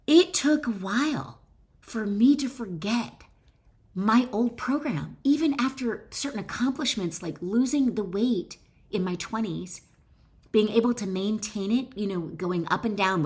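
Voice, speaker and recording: A woman's voice: medium pitch, darkish tone. Middle-aged woman reading aloud, encouraging. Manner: encouraging, direct address to listener. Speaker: author and wellness educator. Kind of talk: reading own book aloud with chatty asides.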